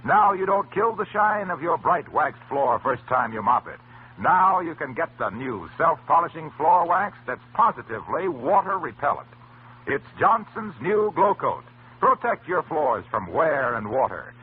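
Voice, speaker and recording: A male American announcer reads a scripted vintage radio ad with emphatic polish. His fairly high voice is very animated, and the old recording sounds tinny. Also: a little slow, breathy edge. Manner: emphatic, polished sales delivery. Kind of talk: 1940s-1950s scripted radio advertising.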